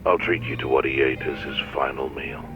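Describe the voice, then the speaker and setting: An elderly yakuza chairman on a dull phone line with faint music. The voice is extremely low and gravelly. He is grave and commanding, in short game-drama phrases. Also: fairly even intonation, a little fast. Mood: somber, firm. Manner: curt, commanding. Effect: phone filter.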